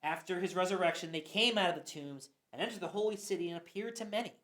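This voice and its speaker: A man's voice: high voice, quick pace, a bit bright. A man narrating scripture for a church radio play, reading earnestly and sometimes stumbling. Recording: echoey room.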